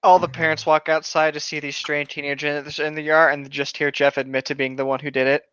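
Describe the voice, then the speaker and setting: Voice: medium pitch, level tone, fairly quick, a bit bright, smooth, dry recording. A podcast co-host reading a story aloud and breaking into casual, sweary, mocking banter, on a dull lo-fi microphone.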